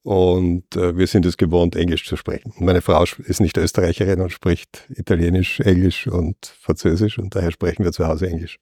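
A man with a very low voice answers a street interviewer, halting and searching for each word. The sound is close and dry, with no room around him. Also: level tone, dark tone, dry recording. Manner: hesitant, searching for words. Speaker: passer-by interviewee.

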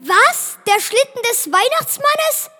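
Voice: very high-pitched, big pitch swings, takes it slowly, sharp tone, full character voice. A child acting a cheeky, confident, eager schoolboy in a school radio play. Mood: excited.

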